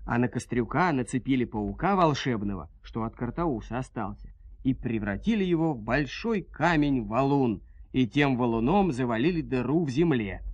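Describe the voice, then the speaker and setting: A man telling a scripted fairy tale in a theatrical, expressive folk-tale manner, with a husky voice. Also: mid-range voice, no room sound, lo-fi sound. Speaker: storyteller, narrator. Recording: dry studio audio play.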